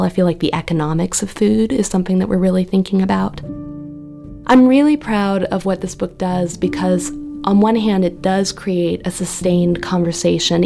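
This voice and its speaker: A woman's voice: fairly low pitch, gravelly, warm tone. A woman talking reflectively in an interview as a poetry editor.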